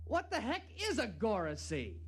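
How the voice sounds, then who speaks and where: A man's voice: high voice, wildly swooping pitch, moderate pace, slightly rough, heavily acted. An actor playing an irritable, obsessive man in therapy, in a 1950s radio drama. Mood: baffled, irritated. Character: irritable, obsessive male would-be killer in therapy.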